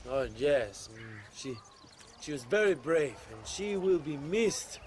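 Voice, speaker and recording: Pompous mexican rebel leader for a game, high swooping voice, flippant, bit of noise behind. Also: male voice, sing-song, takes it slowly, a little mellow. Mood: breezy, self-satisfied. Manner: grandiose, flippant. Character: pompous, self-absorbed Mexican revolutionary leader. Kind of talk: video game cutscene acting.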